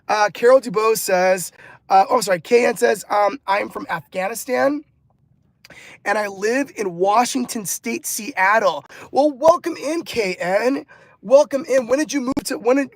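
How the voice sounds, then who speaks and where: A man's voice: high voice, unhurried, creaky, no room sound. A man from Los Angeles hosting a motivational live stream, upbeat and effusive, laughing often and repeating words as he reads out chat comments. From Los Angeles, California. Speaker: livestream host and motivational coach.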